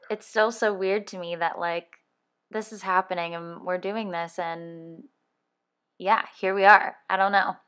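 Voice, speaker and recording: A woman's voice: medium pitch, fairly bright tone. A woman in her early thirties hosting a podcast, talking casually and rambling a little, correcting herself and laughing as she goes. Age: 30s. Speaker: podcast co-host.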